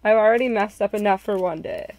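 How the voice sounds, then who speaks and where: A woman's voice: mid-range voice, fairly even intonation, brisk, a crowd in the background. A woman narrating a visual novel and chatting casually with a group.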